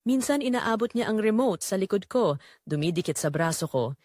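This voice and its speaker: A woman's voice: mid-range voice, lively intonation, normal speed, a little mellow, no room sound, studio quality. A woman with a Filipino accent reading a scripted first-person story as the narrator of an audio drama.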